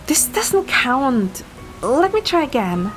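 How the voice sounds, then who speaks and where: High-voiced, tipsy, stubborn young woman played by a woman. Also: very animated, slow, faint music behind, exaggerated voice. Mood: stubbornly tipsy. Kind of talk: romantic audio drama acting with first-person narration.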